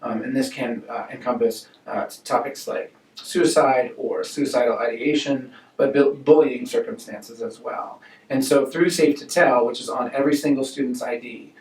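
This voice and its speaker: A man who works as a high school counselor, speaking in a documentary interview and pausing often with fillers. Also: steady tone, a little mellow. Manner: thoughtful, halting with many uh fillers. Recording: roomy, distant mic.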